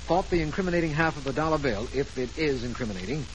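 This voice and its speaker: An actor playing an earnest, businesslike district attorney in a 1940s radio drama, plain-spoken and full of questions. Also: male voice, fairly high pitch, expressive, fast, natural voice, poor audio. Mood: puzzled. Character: earnest, businesslike male district attorney, puzzled but cooperative. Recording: faintly hissy old radio recording.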